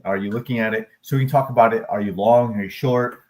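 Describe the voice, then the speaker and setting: A man's voice: on the low side, steady tone, fast talker. Rambling, chatty male livestream host. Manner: rambling, chatty, self-deprecating, with asides to the chat. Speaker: crypto and stock chart livestream host. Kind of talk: casual livestream chat.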